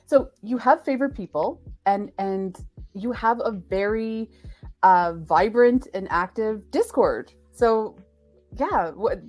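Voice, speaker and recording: A woman hosting a casual live stream interview, with a chatty, jokey and halting way of talking. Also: mid-range voice, expressive, darkish tone, faint music behind. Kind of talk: casual unscripted chat.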